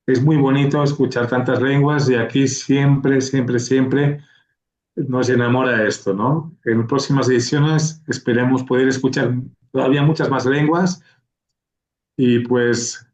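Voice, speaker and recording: Halting man, slow and echoey. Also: medium pitch, a little mellow, muffled recording. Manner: halting, many fillers and restarts. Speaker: organizer and host of a literary award ceremony. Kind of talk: hesitant ceremonial remarks, switching to Catalan.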